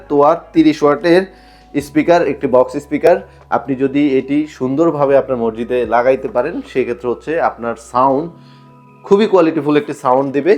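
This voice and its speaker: A man's voice: on the high side, darkish tone. A man with a Bangladeshi accent giving an informal, explanatory product showcase to viewers as a shop presenter, often repeating his points. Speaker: shop presenter selling sound equipment.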